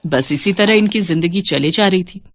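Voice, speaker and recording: A woman narrating a scripted children's story in an expressive storytelling style. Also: fairly low pitch, moderate pace, slight background noise.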